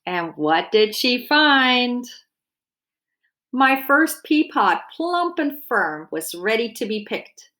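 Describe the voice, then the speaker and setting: A woman's voice: fairly high pitch, takes it slowly. Woman reading a storybook aloud. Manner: expressive, explains simply as if to young children. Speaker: dietitian reading a children's book aloud. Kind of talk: storybook read-aloud with explanatory asides.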